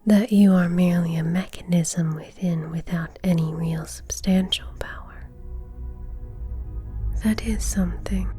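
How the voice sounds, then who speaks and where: A woman playing a composed, coolly defiant suspect in an ASMR roleplay, speaking softly and politely in a measured, breathy whisper. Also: on the low side, steady tone, faint music behind, natural voice. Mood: knowing, defiant. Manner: soft-spoken, polite, measured, subtly taunting.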